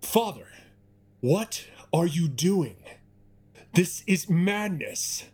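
An actor playing an arrogant, scornful rich chief executive, indignant and theatrical, in an audio drama. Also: male voice, high-pitched, lively intonation, drawn-out words, a little mellow, breathy edge, heavily acted. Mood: alarmed.

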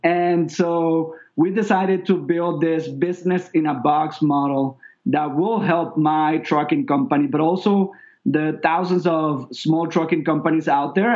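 Higher-pitched Venezuelan-accented man, slightly muffled. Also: darkish tone. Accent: Venezuelan Spanish. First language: Spanish. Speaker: company founder, interview guest. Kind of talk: conversational explanation in an interview.

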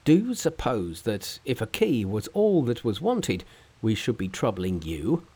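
Man doing a worried old farmer, doubtful, slow, lively in pitch. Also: husky, full character voice. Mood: skeptical. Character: worried, skeptical elderly male farmer.

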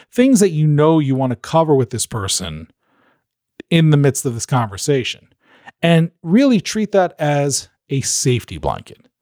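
Animated man coaching on interviews. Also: fairly high pitch, no echo, clean studio sound. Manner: animated, acts out quoted voices. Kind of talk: advice in a podcast interview.

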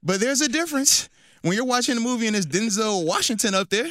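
A man's voice: extremely high pitch, quick pace, fairly bright tone, no room sound, clean studio sound. A man in his thirties, a former football player co-hosting a casual sports radio show, bantering playfully and repeating phrases for emphasis.

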